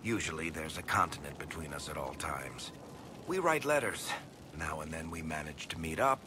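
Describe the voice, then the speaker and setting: An actor playing a wry, guilt-ridden dwarf storyteller in a video game, blunt and sardonic but hesitant when pressed. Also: male voice, rumbling low, animated, normal speed, noisy background. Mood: dry, sardonic.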